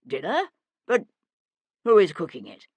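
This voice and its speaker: A woman voicing an imperious older father in an audiobook, gruff, pompous and loud. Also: fairly low pitch, huge pitch swings, measured pace, no room sound, highs cut off, full character voice. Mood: irritated outrage.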